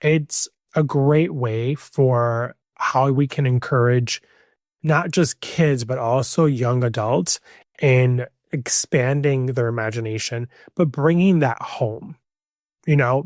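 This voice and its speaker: A man's voice: mid-range voice, no room sound. Earnest male podcast host, dry sound. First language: Spanish. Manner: earnest, emphatic, repeats key points. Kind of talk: solo podcast monologue.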